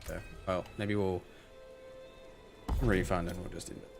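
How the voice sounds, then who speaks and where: Hoarse male streamer, deep voice, loose rambling commentary over game music. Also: level tone, unhurried. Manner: rambling, thinks aloud with many fillers. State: hoarse, losing his voice. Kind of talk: casual live-stream commentary, chatting with viewers.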